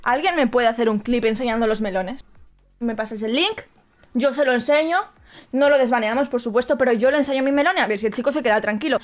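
A young Spanish woman with a bright, high voice and a Madrid accent, reading chat logs aloud in a streaming room. She talks in an animated, sarcastic way and breaks into sudden squeals. Faint music plays behind her. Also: fast. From Spain.

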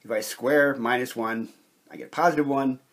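A man with a slightly husky voice giving a measured, methodical lecture, explaining step by step as an instructor. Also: mid-range voice, steady tone. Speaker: chemistry instructor.

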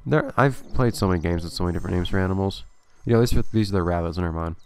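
A man's voice: deep voice, very fast. A man commentating a gameplay video casually, thinking aloud with a slightly nasal, stuffy voice. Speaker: let's play host.

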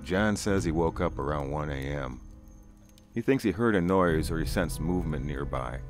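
A man's voice: a bass voice, moderate pace. A middle-aged man narrating a first-person spooky story in a conversational, folksy way. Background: music.

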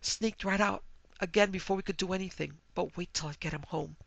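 A man's voice: high voice, brisk, vocal fry, fairly bright tone, no echo, muffled recording, voice pushed up, heavily acted. A man voicing a sobbing, agitated, indignant married woman at a party in a dramatic story reading. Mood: shaken outrage. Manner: weeping, breathless, agitated.

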